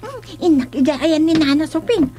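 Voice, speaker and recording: A woman's voice: sits high, expressive, moderate pace, dark tone. A woman playing a daft, childlike, ever-hungry village madwoman with a whimsical, sing-song manner in a radio comedy. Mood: hungry, eager. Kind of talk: comedic radio drama acting.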